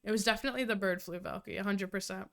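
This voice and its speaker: Young woman, nasal and stuffed-up from a cold, chatty live-stream talk, swears a lot, laughs often, smooth clean tone. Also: medium pitch, very fast, a bit bright. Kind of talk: casual live-stream chat.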